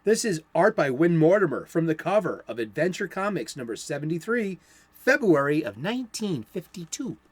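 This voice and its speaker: A playful man from Boston talks comics on his own show, his pitch bouncing high and low as he rambles through eager asides. His voice sits a little high for a man, and the room around him is dry and close. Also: big pitch swings, normal speed, husky, no room sound. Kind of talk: enthusiastic solo comics talk.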